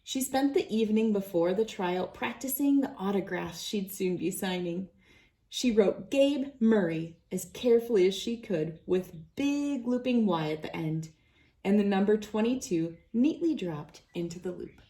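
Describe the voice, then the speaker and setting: A woman's voice: mid-range voice, animated, moderate pace, mellow. A woman reading a children's storybook aloud as narrator. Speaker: children's book reader.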